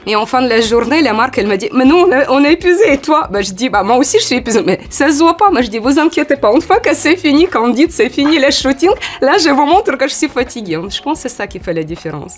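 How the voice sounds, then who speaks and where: Une femme d'une quarantaine d'années, d'origine ukrainienne, avec un ukrainien, qui répond à une interview sur un ton décontracté et hésitant. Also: female voice, high voice, quick pace, few pauses. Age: 40s. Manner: hesitant, many "euh" fillers, lively when acting out quotes. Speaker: model, interview guest. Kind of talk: casual interview answers.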